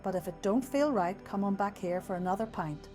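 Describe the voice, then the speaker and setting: A sweet-voiced young Irish barmaid, as a male narrator puts her on, her brogue smooth and dripping with honey. She speaks well above his own deep register, teasing and theatrical, over soft background music. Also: fairly quick, lightly acted. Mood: stern warning. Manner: playful, dramatic flair.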